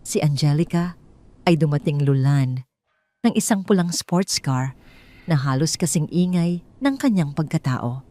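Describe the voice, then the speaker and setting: A woman's voice: low voice, expressive, a little slow, warm tone, no room sound. A woman storyteller reading a scripted dramatic narration.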